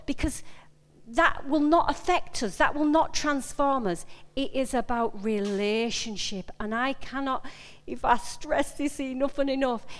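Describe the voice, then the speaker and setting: Yorkshire woman preaching in a reverberant hall. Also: on the high side. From Yorkshire, England. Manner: conversational, emphatic, repeats phrases and questions the listeners directly. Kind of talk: informal personal sermon. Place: large indoor meeting room. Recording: echoey hall with long reverb.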